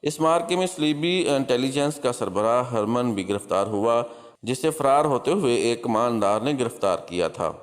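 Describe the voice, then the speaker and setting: A man's voice: mid-range voice, lively intonation, normal speed. A man narrating a scripted historical story as its host, in a solemn, formal, declamatory manner. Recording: long hall-like reverb.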